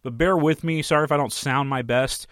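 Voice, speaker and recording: A hoarse man, hosting a solo podcast and giving his opinions on films in a rambling, casual way. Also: fairly high pitch, brisk, fairly bright tone, no room sound, clean studio sound. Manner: rambling, opinionated, many fillers and restarts. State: hoarse from a flu. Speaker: podcast host and film critic.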